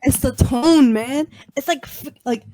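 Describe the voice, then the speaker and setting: A young woman from a Vietnamese family chatting casually, animated and laughing often, with lots of restarts. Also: sits high, measured pace, no echo. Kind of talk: casual chat.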